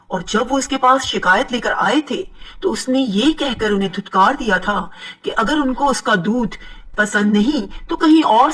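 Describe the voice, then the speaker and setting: A woman narrating an animated moral story in a steady, moralizing telling. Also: mid-range voice, distant mic, low-quality recording. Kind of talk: scripted cartoon narration.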